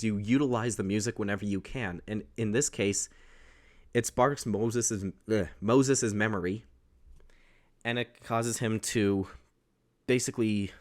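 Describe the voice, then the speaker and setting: A young man with a baritone voice casually recapping a film, rambling and correcting himself as he goes. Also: a little mellow. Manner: rambling, self-correcting, with restarts. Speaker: host.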